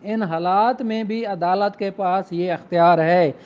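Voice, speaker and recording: A man giving an explanatory lecture in a didactic way, mixing in slowly and deliberately read English phrases. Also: sits high, fairly even intonation, highs cut off. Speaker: law lecturer. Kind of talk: explanatory law lecture with examples, code-switching into English.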